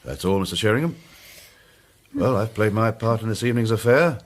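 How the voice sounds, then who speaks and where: An actor playing a methodical police chief inspector in a period detective radio drama, speaking in a formal, measured, matter-of-fact way. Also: male voice, on the low side, lively intonation, lightly acted. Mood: businesslike.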